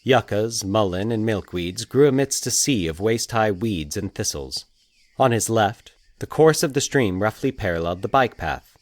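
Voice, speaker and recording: A man with a fairly low voice narrates fiction in a measured storyteller's manner. The sound is dry, with hardly any room around the voice. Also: lively intonation, dry recording.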